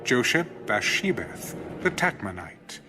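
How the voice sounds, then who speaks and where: A man narrating scripture as formal, measured, dramatic storytelling. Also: medium pitch, big pitch swings. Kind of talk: dramatic audio Bible narration. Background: music behind the voice.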